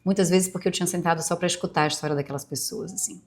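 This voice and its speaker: A woman in her forties with a Bahian Brazilian accent softened by years in Rio, hosting a podcast in a rambling, confiding personal monologue full of asides. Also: fairly low pitch, fairly even intonation, very fast. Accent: Brazilian Portuguese, Bahian softened by years in Rio. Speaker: podcast host.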